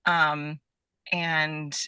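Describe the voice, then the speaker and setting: A woman talking casually as a podcast guest, halting and restarting often, peppering her sentences with "like". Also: low-pitched, flat delivery, bright, forceful tone, clean tone, dry recording, rough recording. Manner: halting, filler-heavy with frequent "like". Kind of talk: casual chat.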